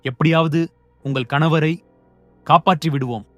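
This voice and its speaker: A man's voice: a little high, steady tone, normal speed, a bit bright, no echo, dull audio, soft background music, close to an everyday voice. A man voicing a kindly innkeeper in a children's cartoon, speaking politely and reassuringly. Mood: polite, helpful. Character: kindly adult male innkeeper, helpful. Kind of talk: cartoon voice acting.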